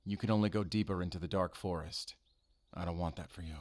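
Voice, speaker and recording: A man playing a brooding, guarded werewolf hunter in an audio roleplay, speaking in a solemn, halting, intimate way to the listener. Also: low voice, steady tone, natural voice. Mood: torn, pained. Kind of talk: cinematic audio roleplay acting.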